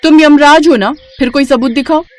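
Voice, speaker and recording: A woman voicing a sharp-tongued, bossy young daughter-in-law who scolds and complains in an animated moral story. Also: high-pitched, normal speed, soft background music, pitched above the performer's own voice, close to an everyday voice. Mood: irritated, defiant.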